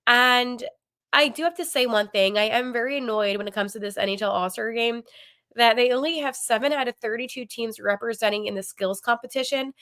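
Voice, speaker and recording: A woman's voice: a little high, brisk, fairly bright tone. A woman hosting a sports podcast, chatting with opinions in a congested, nasal voice from a cold, with frequent ums and likes. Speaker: podcast host.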